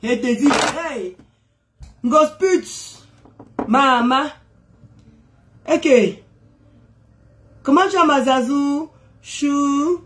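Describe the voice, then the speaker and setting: A woman's voice: high-pitched, very slow, long pauses, fairly bright tone. A woman with a head cold, chatting casually on a live stream, greeting viewers, teasing and exclaiming with frequent laughs.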